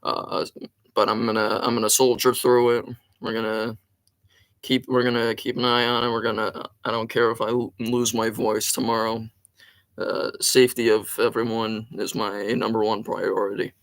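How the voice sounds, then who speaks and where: A man's voice: fairly low pitch, level tone, normal speed, a bit bright, no echo. A man hosting a live storm-tracking stream with a sore throat, giving urgent take-cover warnings between many ums and uhs. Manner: urgent warnings mixed with frequent fillers. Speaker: storm tracking livestream host. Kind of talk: live weather commentary.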